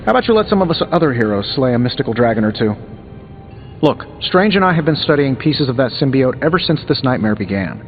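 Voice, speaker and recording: Wisecracking American tech-genius superhero for a video game. Glib and sardonic; reverberant, music behind. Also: male voice, medium pitch, sing-song, fast, lo-fi sound. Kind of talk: video game dialogue acting.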